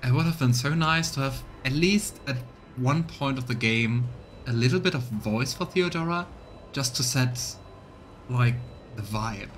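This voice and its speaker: A man's voice: mid-range voice, measured pace. Man streaming a game over music. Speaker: streamer doing voiced let's play. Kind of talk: casual game commentary mixed with narration.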